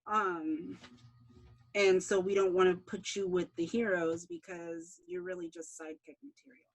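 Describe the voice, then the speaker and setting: A woman's voice: lowish voice, normal speed, rough recording. A woman series creator answering interview questions in a casual way.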